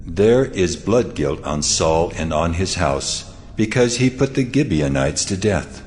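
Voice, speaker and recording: Man acting deep, stern voice of God. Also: animated, a little mellow, soft background music. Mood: stern, solemn. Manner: grave, slow pronouncements. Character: solemn, stern male voice of God. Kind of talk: dramatized scripture acting.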